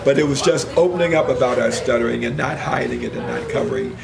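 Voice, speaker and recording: An older man, a minister and retired engineer, telling his story in an interview in a measured, reflective way, with a mild stutter and occasional word swaps. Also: medium pitch, gravelly, over a noisy crowd. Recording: loud background noise.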